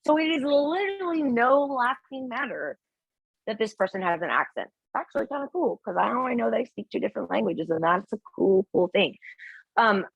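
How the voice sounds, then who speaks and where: Woman instructor, lively, explains with examples, dull dry sound. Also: fairly high pitch, a little fast, gravelly, breathy edge, dry recording. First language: English. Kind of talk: teaching a test prep class.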